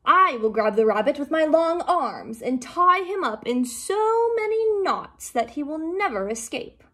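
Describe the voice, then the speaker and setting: A confident octopus from a children's storybook, acted by a woman. The voice is raised high and emphatic, boasting at an unhurried pace. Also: big pitch swings, bright, forceful tone, full character voice. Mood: boastful. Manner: bragging, emphatic. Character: boastful, confident male octopus eager to capture prey.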